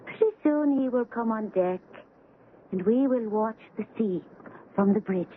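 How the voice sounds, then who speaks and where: High-voiced proud foreign woman. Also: expressive, unhurried. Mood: calm, friendly. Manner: simple formal phrasing without contractions. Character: proud, devoted foreign island woman aboard ship. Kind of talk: old-time radio drama acting. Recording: tinny old radio broadcast, faint noise.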